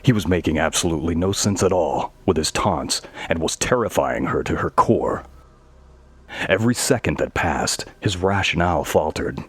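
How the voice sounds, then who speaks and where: A man's voice: low-pitched, lively intonation, moderate pace, husky. A man giving scripted narration of a horror audio story.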